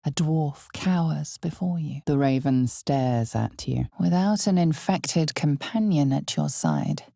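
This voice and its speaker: An actress voicing an omniscient, solemn and ominous narrator in a fantasy video game, measured and theatrical, with weighty pauses. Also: female voice, animated, dark tone, no room sound, studio quality, full character voice. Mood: grave, dramatic. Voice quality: husky, breathy, low. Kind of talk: dramatic scripted second-person narration of a fantasy game.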